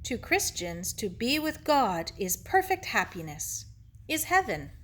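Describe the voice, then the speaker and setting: A woman reader gives us an elderly Japanese man from a children's novel, gentle and wise. He talks slowly in short, broken English phrases, measured and philosophical. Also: fairly high pitch, big pitch swings, heavily acted. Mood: gentle, consoling. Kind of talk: children's novel read aloud.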